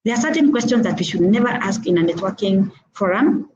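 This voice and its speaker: A middle-aged woman with a Kenyan accent gives earnest advice, circling back to her points between small "uh" pauses. Her voice is warm and mellow. She comes through a muffled, lo-fi video call. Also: medium pitch, lively intonation. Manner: earnest and advising, repeating points with frequent "uh" hesitations. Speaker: motivational speaker. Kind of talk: instructive talk on networking.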